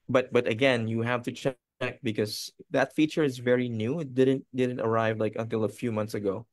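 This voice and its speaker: Man, Filipino first language, lowish voice, casual webinar explainer, loose chatty delivery. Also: no echo. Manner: conversational, loose, often checks in with listeners. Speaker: video editor, guest presenter.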